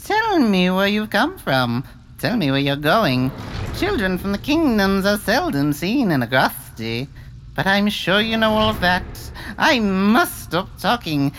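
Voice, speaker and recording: A woman voicing an eccentric, fawning sorceress in an audiobook, flattering and babbling, with a sly, scornful edge. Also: medium pitch, unhurried, a little hiss or hum, low-quality recording. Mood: gushing. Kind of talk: audiobook character acting.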